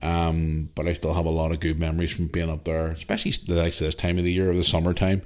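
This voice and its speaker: Low-voiced Northern Irish podcast host. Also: male voice, level tone, quick pace. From Northern Ireland. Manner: rambling, chatty, with many fillers and restarts. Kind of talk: casual solo podcast, reading listener email.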